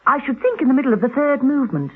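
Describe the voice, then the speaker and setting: A woman's voice: sits high, sing-song, very fast, husky, soft background music, heavily acted. A woman playing a cool, poised secret agent in a classic radio drama. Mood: cool, conversational. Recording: narrow-band vintage radio recording.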